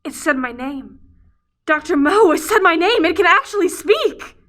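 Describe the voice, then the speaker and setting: A young woman playing a nervous scientist in a radio drama. Her voice is high, and she sounds earnest and weighed down by guilt. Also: lively intonation, moderate pace, a bit bright. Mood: amazed. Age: young adult. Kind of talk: radio play acting.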